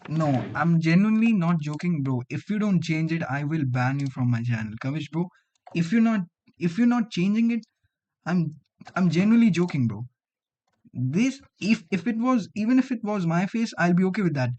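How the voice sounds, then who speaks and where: A somewhat high-pitched young man with an Indian accent, a native Hindi speaker from Delhi. He talks to his stream viewers in loose, bantering slang, dropping "bro" often. The sound is slightly dull and lo-fi. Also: expressive, moderate pace, darkish tone. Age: 20s. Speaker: livestream host, student coder. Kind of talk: casual livestream chat with viewers.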